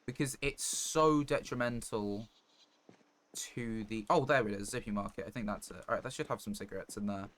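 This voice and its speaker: A man's voice: fairly low pitch, fairly quick, fairly bright tone. A man doing casual live gameplay commentary, thinking aloud in short fragments as he plays a survival game. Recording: clear background noise, clicking.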